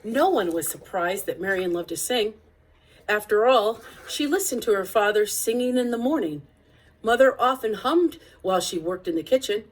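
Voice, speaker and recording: A woman reading a picture book aloud as narrator, with deliberate, expressive phrasing. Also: mid-range voice, rough recording. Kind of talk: read-aloud storybook narration.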